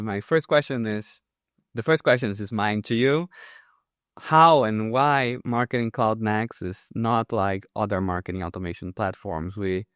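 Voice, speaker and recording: A man's voice: fairly low pitch, no echo, studio quality. A young adult man with a Brazilian accent, chatting casually about work as a co-host. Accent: Brazilian Portuguese. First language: Portuguese.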